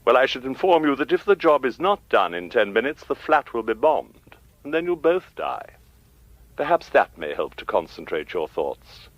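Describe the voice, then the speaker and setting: An actor playing a polite, formal crime boss who threatens with measured courtesy in a radio thriller. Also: male voice, medium pitch, big pitch swings, dry recording, muffled recording, natural voice. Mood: cold ultimatum. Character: coolly menacing, courteous male crime boss.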